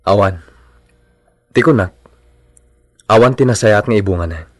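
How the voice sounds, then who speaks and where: An actor playing a placating husband, conciliatory and easygoing, with an Ilocano accent in a radio drama. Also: male voice, on the low side, normal speed, no room sound, clean studio sound, close to an everyday voice. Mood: weary, placating. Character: placating husband of a quarrelsome store owner.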